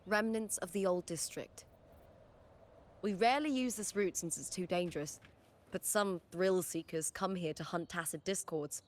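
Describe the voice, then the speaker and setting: A woman's voice: lowish voice, moderate pace, close to an everyday voice. A woman playing a confident, composed female warrior who guides others in a video game. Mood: cautionary, reflective. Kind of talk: scripted video game dialogue.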